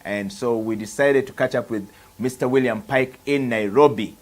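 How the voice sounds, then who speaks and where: A man's voice: medium pitch, normal speed. A man hosting a television book interview, making formal introductions and asking questions with frequent uhs. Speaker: TV show host.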